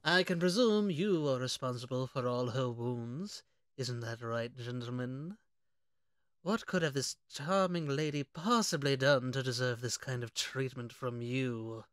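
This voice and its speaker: A man voicing a courteous, genteel gentleman hunter with a formal, old-fashioned charm in a comic dub. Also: mid-range voice, lively intonation, measured pace, no echo, clean studio sound, close to an everyday voice. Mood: coolly polite. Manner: polite, formal, endearments.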